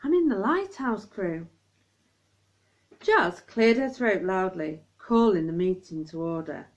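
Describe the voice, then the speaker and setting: A woman reads a children's story aloud. Her voice swings widely as she acts out the dialogue with lively emphasis. The recording is a little muffled and lo-fi. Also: mid-range voice, slow pace. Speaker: teacher reading aloud. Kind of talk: expressive children's audiobook-style reading.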